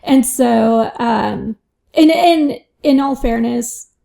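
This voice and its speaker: A woman's voice: on the high side, drawn-out words, gravelly, warm tone. A woman financial advisor answering interview questions in a reflective way, often adding "you know" and "right?".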